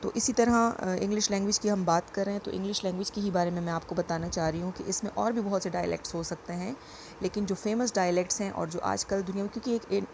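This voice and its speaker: A woman teacher with a voice, explaining a lesson patiently and reading English definitions out slowly. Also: lowish voice, a little mellow, a little hiss or hum. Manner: explains patiently, repeats points, reads English lines slowly.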